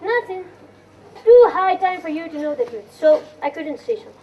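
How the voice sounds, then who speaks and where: A woman's voice: very high voice, animated, moderate pace, darkish tone. A young woman playing a grumpy, sly old father in an amateur stage farce. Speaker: student actor. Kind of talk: amateur stage play acting. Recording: distant mic, muffled, noisy room.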